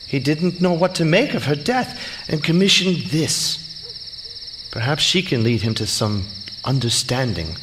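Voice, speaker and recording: A man's voice: on the high side, animated, unhurried. A man playing a rapt, romantic artist in an audio drama, musing in a dreamy, theatrical manner. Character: rapt, romantic male artist, obsessed with a statue. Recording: noisy outdoor night with crickets.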